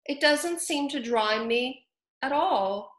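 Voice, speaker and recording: A woman's voice: sits high, animated, slow, pitched above the performer's own voice. A woman voicing a polite, dripping-wet young girl who sounds gloomy. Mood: glum. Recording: echoey room.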